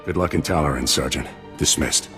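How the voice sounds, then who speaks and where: Very deep-voiced military commander. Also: male voice, fairly bright tone, faint music behind. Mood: firm. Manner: measured, authoritative, formal. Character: composed, welcoming older male special-forces commander. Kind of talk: video game cutscene acting, briefing.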